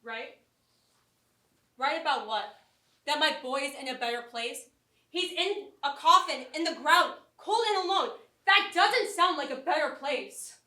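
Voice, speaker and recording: A woman acting as a bereaved mother with a high, bright voice, wounded and bitter toward her husband. Her stage-play delivery is sharp and accusing, heard from afar in an echoey theatre. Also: measured pace, distant mic. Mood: angry, accusing. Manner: sarcastic, accusatory, dramatic delivery. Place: small theatre, live stage performance.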